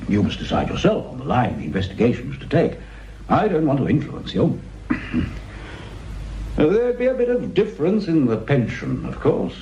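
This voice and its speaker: An actor playing a manipulative, patronising British police superintendent with an insinuating manner, in a radio drama. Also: male voice, medium pitch, huge pitch swings, moderate pace, mellow. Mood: sly, insinuating. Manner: hesitant, insinuating, condescending. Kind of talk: naturalistic radio drama acting. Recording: muffled radio recording with noise.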